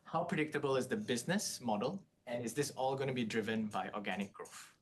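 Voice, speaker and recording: A man moderating an earnings call, reading out analyst questions. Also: a little fast. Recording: clear background noise, low rumble.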